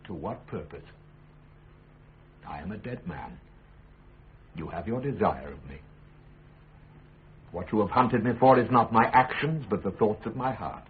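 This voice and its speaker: An actor with a British accent playing a principled, defiant prisoner in a radio courtroom drama, speaking in measured, lawyerly oratory. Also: male voice, lowish voice, lively intonation, phone-quality sound, a little hiss or hum. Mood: resigned, sorrowful. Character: principled accused statesman, grave, eloquent, defiant prisoner.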